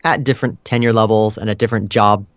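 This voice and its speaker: A man answering interview questions as a guest, explaining things in a measured, conversational way with casual hedges. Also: fairly low pitch, fairly even intonation, no echo, clean studio sound. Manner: explanatory, measured, hedging with "kind of" and "you know". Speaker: interview guest, customer support director.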